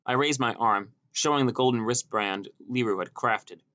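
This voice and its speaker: A man's voice: mid-range voice, moderate pace, bright, forceful tone, muffled recording. A man reading a scripted first-person story as an audiobook narrator.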